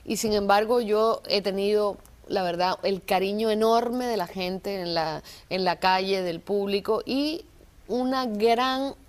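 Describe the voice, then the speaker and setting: A woman from Cuba, an actress, chatting in an interview about her career, thoughtful and unhurried, with many small pauses. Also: medium pitch. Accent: neutral Colombian-trained Spanish with Cuban traces. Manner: warm, reflective, many fillers and pauses. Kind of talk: casual interview talk.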